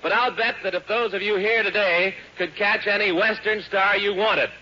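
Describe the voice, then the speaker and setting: A man's voice: sits high, steady tone, moderate pace, pushed and bright. A man with an American accent hosting a 1940s children's radio show as a hearty, folksy showman. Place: theater stage. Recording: dull, noisy old radio broadcast recording.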